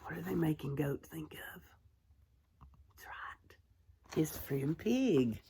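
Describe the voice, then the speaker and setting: Slow, low-voiced woman reading a storybook. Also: vocal fry, a little hiss or hum. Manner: gentle, hushed, expressive storytelling with deliberate pauses; addresses young listeners with simple questions. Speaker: kindergarten teacher reading aloud. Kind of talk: storybook read-aloud to young children with asides.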